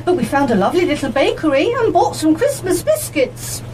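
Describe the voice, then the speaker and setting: A woman's voice: high voice, very animated, a little fast, a little mellow, recorded from a distance, slight background noise. A woman playing a plain-spoken, cheerful holiday companion in a live radio play.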